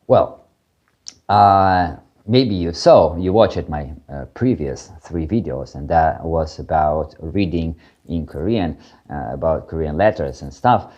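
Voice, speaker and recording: A man's voice: very deep voice, takes it slowly, highs cut off. A man from Ukraine, teaching a casual video lesson, hesitant, with many fillers and restarts. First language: Russian. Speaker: language teacher.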